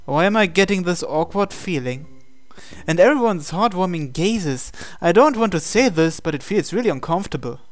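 Let's play host dubbing a visual novel hero; unhurried, mid-pitched, plain. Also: animated, dry recording, soft background music. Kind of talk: reading visual novel lines aloud in English.

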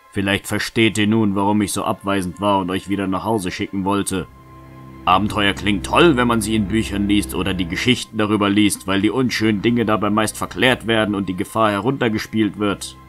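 Man acting a very deep-voiced, world-weary knight. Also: flat delivery, moderate pace, fairly bright tone, faint music behind, natural voice. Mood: stern, reproachful. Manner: grave, lecturing. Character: world-weary male knight, stern reluctant protector. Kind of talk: fantasy audio drama acting.

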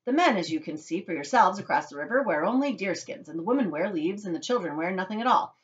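A woman voicing an eccentric, excitable man who owns a chocolate factory, telling things theatrically and emphatically in a children's storybook. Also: medium pitch, fast, muffled recording, natural voice. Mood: enthusiastic.

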